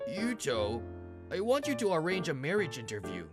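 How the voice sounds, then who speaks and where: A woman's voice: low voice, wildly swooping pitch, moderate pace, over loud music. A woman voicing a genial, pleading old grandfather devoted to his grandson, in a manga dub. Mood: coaxing.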